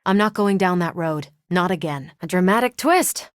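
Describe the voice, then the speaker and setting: A woman's voice: on the low side, animated, normal speed, no echo, studio quality, close to an everyday voice. A woman playing a confident, wry female superhero soldier in a video game, breezy and assured in her delivery. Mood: assured, casual. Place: dry studio recording.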